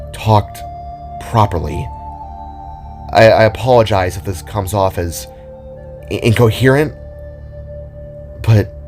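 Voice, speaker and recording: A man performs a sorrowful metal warrior, the last survivor of his people, in an audio drama. His voice is low and his words come hesitantly, with stammers. Music plays faintly behind him. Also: a little mellow. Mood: hesitant, apologetic.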